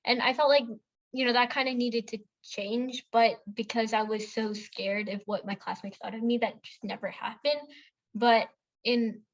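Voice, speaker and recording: Young woman co-host from India, bright higher voice, rambling casual storyteller, lo-fi recording. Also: fairly even intonation, normal speed. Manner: chatty storytelling with many fillers and self-corrections. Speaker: podcast co-host. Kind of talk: casual chat.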